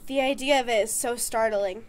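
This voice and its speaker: A woman playing an excitable, anxious young newlywed bride in a radio horror play. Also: a little high, brisk, natural voice. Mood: uneasy, fascinated. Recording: music under the voice.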